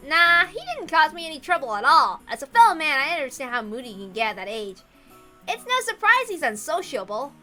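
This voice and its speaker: A woman's voice: high voice, sing-song, a little fast, sharp tone, soft background music, full character voice. A woman voicing a boisterous, joking young man with a casual, hearty manner in a visual novel read-aloud. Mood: breezy.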